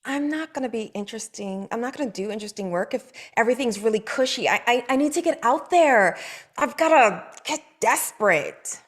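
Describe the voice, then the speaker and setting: A woman's voice: on the high side, lively intonation. A woman reading a restless TV writer. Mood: defiant. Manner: brisk and self-justifying. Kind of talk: table read.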